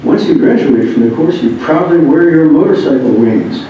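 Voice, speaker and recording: A man with a fairly high, mellow voice reads a memoir aloud in a somewhat halting way. The recording is very echoey, as if the microphone sits well away from him in a bare room. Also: expressive. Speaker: reader of a memoir. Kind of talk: reading a written first-person narrative aloud. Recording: muffled, very echoey room, distant mic, rumbling noise.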